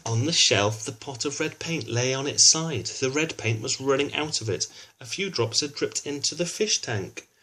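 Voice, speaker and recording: A man's voice: mid-range voice, moderate pace, few pauses, pushed and bright, a little hiss or hum. Man reading a children's storybook. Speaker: children's book reader. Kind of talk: storybook read-aloud with informal asides to a child listener.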